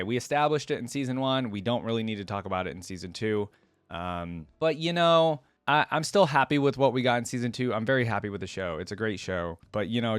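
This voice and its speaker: A man's voice: on the low side, no echo. A man giving casual reaction commentary, informally thinking aloud as he hosts. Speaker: reaction video host.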